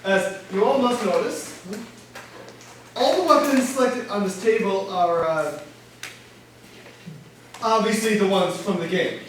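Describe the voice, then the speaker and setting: Roomy distant recording, a man as self-important detective, high voice, halting, stammering delivery, stage comedy. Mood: hesitant. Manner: halting, hemming and hawing. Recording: echoey room, distant mic, faint noise.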